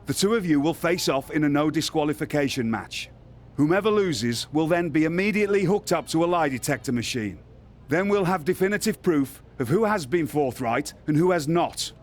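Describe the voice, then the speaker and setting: A man's voice: a little high, expressive, normal speed, natural voice. A man playing a pompous, theatrical wrestling general manager in a video game cutscene, speaking in formal, grandiose phrases. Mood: pompous, officious.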